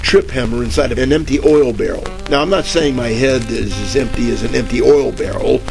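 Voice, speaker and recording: A bumbling private eye from a forties radio parody , played by a man. His voice is gravelly and mid-pitched. He stammers and wanders through wisecracks, with music and radio crackle behind him. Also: fairly quick, natural voice. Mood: wry narrating. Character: bumbling, cowardly, rambling male private detective narrator. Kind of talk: 1940s radio detective parody acting.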